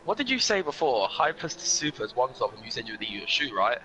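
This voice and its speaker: A man's voice: medium pitch, very animated, fast talker, pushed and bright, slight background noise, rough recording. A man co-commentating a live sim race, chatty and teasing, often laughing.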